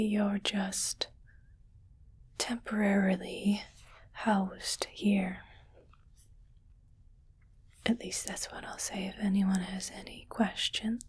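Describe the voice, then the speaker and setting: Wealthy alien menagerie owner, played by a woman: hushed, very breathy ASMR delivery, unhurried, condescending, sweetly possessive. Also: medium pitch, heavily acted. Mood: sly, secretive. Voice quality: breathy whisper. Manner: slow, condescending, coaxing. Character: smug, wealthy female alien collector of human pets. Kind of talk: ASMR roleplay, whispered monologue.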